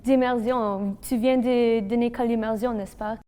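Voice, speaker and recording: A woman's voice: fairly high pitch, normal speed, clear, resonant tone, big-room sound. A woman student with a French immersion accent, speaking hesitantly and filling with "comme". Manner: hesitant, flat, uses many "comme" fillers. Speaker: university student. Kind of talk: testimonial talk.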